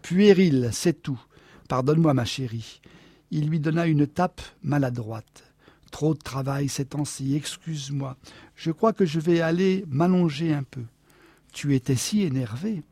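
A man's voice: on the high side, measured pace, slightly rough, no echo. A man reading aloud as a jealous husband who snaps and raises his voice in anger. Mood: weary. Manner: loud, sharp outbursts. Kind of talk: audiobook dialogue reading.

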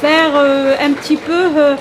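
A woman's voice: high voice, little pitch movement, measured pace, background noise. A middle-aged woman from Morocco, an Arabic speaker, answering interview questions hesitantly with many fillers. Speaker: language teacher guest.

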